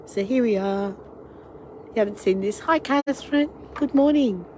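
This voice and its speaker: A woman's voice: a little high, a little slow, darkish tone. A middle-aged Australian woman with a cold and a fading voice, chatting casually as she hosts a live cooking stream and greets each viewer who joins. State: has a cold, losing her voice. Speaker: online tour and cooking class host. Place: outdoor deck, then home kitchen. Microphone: device camera. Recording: wind and ambient noise outdoors.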